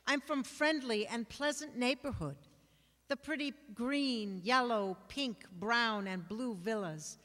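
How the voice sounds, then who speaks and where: Woman reciting poetry live: mid-pitched, unhurried and measured, reverberant hall sound. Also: a bit bright. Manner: measured, list-like phrasing with pauses. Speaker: actor or reader of others' writing. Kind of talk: dramatic reading of a personal poem.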